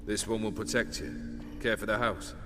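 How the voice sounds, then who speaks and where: An actor playing an emotionless, detached noble husband in a video game. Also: male voice, on the low side, normal speed, close to an everyday voice. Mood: cold, detached. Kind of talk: dramatic video game acting. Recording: reverberant hall, clear noise behind.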